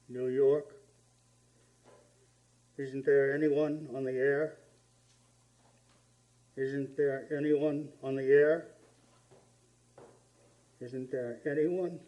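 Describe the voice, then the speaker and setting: A man playing a lonely, anxious radio operator in a radio play, calling out in measured, repeated phrases. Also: mid-range voice, level tone, lo-fi sound. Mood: forlorn, searching. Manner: repetitive, measured call-sign calling.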